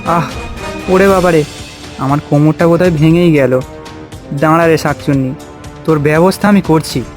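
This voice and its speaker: A man's voice: fairly high pitch, normal speed, faint music behind, natural voice. A man playing a beleaguered farmer in a fairy tale cartoon, with an earnest, exclamatory way of talking. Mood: pained, vengeful. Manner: exclamatory, plaintive. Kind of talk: children's cartoon voice acting.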